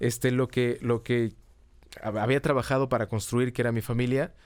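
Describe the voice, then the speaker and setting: A middle-aged Mexican man with a mid-pitched voice talks as a podcast guest. He explains in a conversational way and often checks in with short tag questions. The sound is dry, with little room in it. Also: steady tone, fairly quick, clear, resonant tone, no room sound. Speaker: podcast guest, comedy teacher and writer. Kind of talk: casual conversational interview answers.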